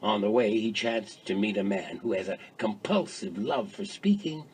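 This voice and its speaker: A man playing a wry, teasing ancient Greek philosopher in a measured dramatised dialogue reading. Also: fairly low pitch, expressive, creaky, a little hiss or hum. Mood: knowing, teasing.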